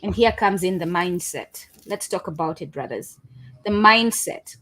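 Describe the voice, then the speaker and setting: A woman from Kenya, a Kiswahili speaker, leads a panel discussion. Her delivery is earnest and preachy, and she pauses often on "um" and "uh". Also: fairly low pitch, lively intonation, a bit bright, poor audio. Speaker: host. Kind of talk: panel discussion on video call.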